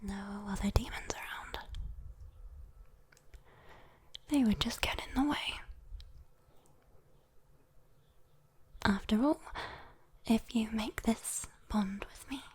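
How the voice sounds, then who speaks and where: A woman with a British accent voicing a sweet, teasing, possessive demon girl in a soft, intimate whispered ASMR roleplay. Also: mid-range voice, slightly rough. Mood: possessive, coaxing. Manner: slow, coaxing, intimate, playful. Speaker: ASMR voice performer.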